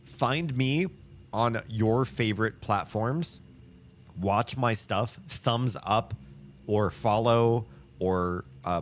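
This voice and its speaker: Man streaming live, low voice, rambling casual chat, lots of ums and restarts, upbeat, faint background hiss. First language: English. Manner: rambling, with frequent fillers and restarts; upbeat and chatty. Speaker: livestream host.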